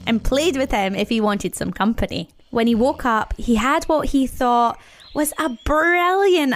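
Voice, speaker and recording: Expressive woman storyteller, slightly high voice. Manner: wry, playful asides with dramatic pauses. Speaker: children's storyteller. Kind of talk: expressive scripted storybook narration.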